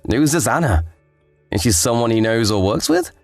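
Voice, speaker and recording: A man's voice: mid-range voice, expressive, normal speed, dry recording, soft background music, natural voice. A man playing a concerned, apologetic brother-in-law in a dramatized story, sympathetic and exclamatory. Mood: disbelieving.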